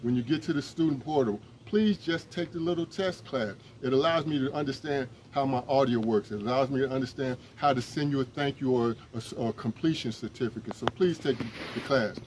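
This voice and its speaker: A man's voice: medium pitch, brisk, few pauses, a little mellow, low-quality recording. A man talks straight to his viewers in a mid-range voice and asks them for help. He rambles, restarts and pleads, earnest and direct. A faint background noise sits behind him outdoors. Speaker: emergency management instructor. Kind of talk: casual direct-to-camera appeal.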